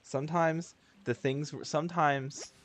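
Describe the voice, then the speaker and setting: A man's voice: fairly high pitch, steady tone, measured pace, slight background noise. A man livestreaming a video game in casual, slangy, joking and self-deprecating chat. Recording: game music behind the voice. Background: video game music.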